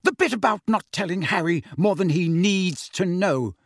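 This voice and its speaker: Man voicing a stern, high-pitched, indignant mother. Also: very animated, moderate pace, a little hiss or hum. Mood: sharp, dangerous. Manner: emphatic, scolding. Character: stern, protective middle-aged mother, sharp and indignant. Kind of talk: audiobook character voice.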